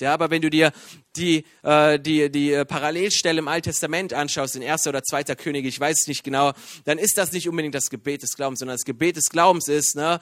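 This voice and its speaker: A man from Iran preaching a sermon in a lively, joking way, with many fillers and restarts. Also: fairly high pitch, no room sound, studio quality. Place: church.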